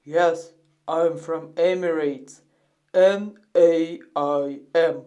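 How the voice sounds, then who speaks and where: An extremely slow speaker gives a name in a scripted lesson dialogue. They then spell it out one letter at a time, with long pauses between letters. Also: medium pitch, fairly even intonation, warm tone, highs cut off. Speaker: quiz participant spelling a name.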